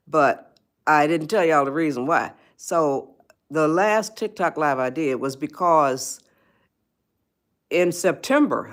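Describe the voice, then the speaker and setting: An 81-year-old woman, hosting a live stream and giving advice. She rambles, repeats herself and greets viewers by name. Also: low voice. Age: 80s. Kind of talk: spontaneous livestream talk.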